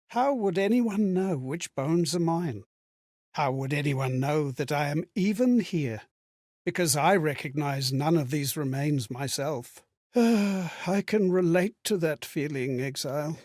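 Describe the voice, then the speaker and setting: A courteous lost spirit from a fantasy video game, steeped in melancholy. His voice sits a little high and sounds dry and close. He delivers dramatic monologues with measured, theatrical reflection. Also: dry recording, heavily acted. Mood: doubtful, melancholy. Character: melancholy, courteous lost male spirit reliving strangers' memories.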